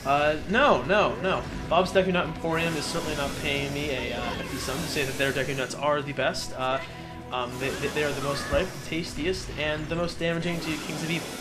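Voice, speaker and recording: A man's voice: fairly high pitch, steady tone, a little fast. A man hosting a video game let's play, giving casual, joking commentary in a rambling, hesitant way with mock-earnest enthusiasm. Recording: game music behind the voice.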